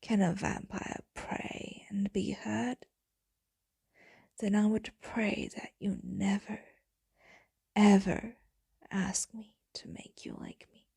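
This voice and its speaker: A devoted, sorrowful vampire woman, played by a female voice actor murmurs to her lover in an ASMR roleplay. Her voice is very husky and breathy, slipping between whisper and gravelly fry. It sounds close and dry, with a tender, brooding temper. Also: mid-range voice, level tone, no room sound, heavily acted. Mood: bitter, sorrowful. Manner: slow, brooding, hushed delivery. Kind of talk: intimate ASMR roleplay monologue.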